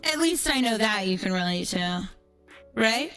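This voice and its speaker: A woman with a slightly high voice talks like a livestreamer holding court, brash and freely profane. Her delivery is casual and sarcastic, moving between chat and ranting stories, with music playing behind her. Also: lively intonation, unhurried, a bit bright. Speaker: streamer.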